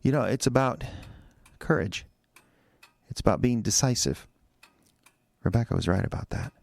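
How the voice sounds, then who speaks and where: An American man acting in a radio drama as an anxious, defensive husband who speaks haltingly and repeats his words. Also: mid-range voice, gravelly, a little mellow, no room sound. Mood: anxious, rambling.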